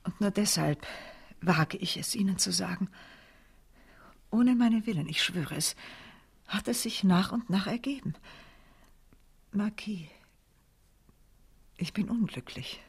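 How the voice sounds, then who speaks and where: A woman's voice: mid-range voice, slow pace, creaky, long pauses, husky, heavily acted. A woman playing a proud, clever aristocratic lady in a period radio drama, stately and theatrical in her delivery. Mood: confessing, sorrowful. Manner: stately, theatrical, hesitating for effect.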